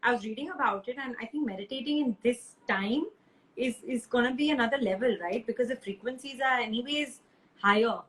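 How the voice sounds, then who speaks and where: A woman's voice: on the high side, brisk, a bit bright. A woman hosting a live stream, chatting casually and effusively over a roomy, hissy call connection.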